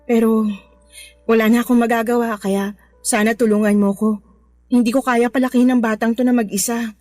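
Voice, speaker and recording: An actress plays a young Filipina mistress in a radio drama, cajoling and money-minded. Her voice is on the high side and she sweet-talks her lover. Soft music runs behind her. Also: flat delivery, brisk, no room sound, lightly acted. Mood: pleading. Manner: coaxing, sweet-talking. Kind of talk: Tagalog radio drama acting.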